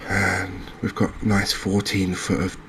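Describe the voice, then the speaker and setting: A man giving quiet, unscripted ASMR-style game commentary, unhurried and thinking aloud with pauses. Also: low voice, monotone, a little hiss or hum. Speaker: gaming video host.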